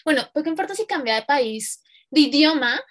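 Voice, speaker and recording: A woman's voice: high voice, animated, a little fast. A woman talks in a casual, reflective way, thinking aloud and rephrasing as she goes. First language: Spanish. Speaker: conversation partner asking questions.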